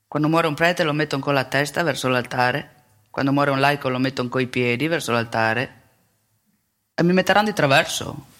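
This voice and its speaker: A man's voice: medium pitch, fairly bright tone, natural voice. An actor playing a mild, devout older married ex-priest who tells his story in a colloquial, self-deprecating stage monologue. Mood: wry, resigned. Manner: colloquial, plain-spoken, self-deprecating, with rhetorical tags. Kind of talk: theatrical confessional monologue.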